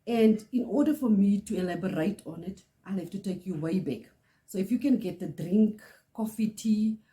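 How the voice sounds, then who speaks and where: A middle-aged woman from South Africa, Afrikaans-speaking, with a South African accent, telling a personal story in earnest, pausing often. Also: medium pitch, mellow. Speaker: channel host. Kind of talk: personal testimony to camera.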